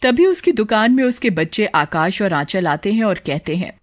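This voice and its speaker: A woman reading a scripted cartoon story as narrator, in a plain, measured storytelling manner. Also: mid-range voice, lively intonation, no room sound.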